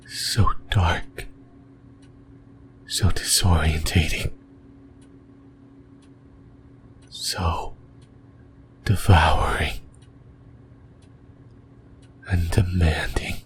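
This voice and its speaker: A man playing a possessive, lonely sleep paralysis demon in a creepy ASMR roleplay, whispering breathily in slow, halting phrases. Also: deep voice, fairly even intonation, a bit bright, exaggerated voice. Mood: intense, insistent. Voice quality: breathy, mostly voiceless whisper. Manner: slow, deliberate, halting phrases with long pauses.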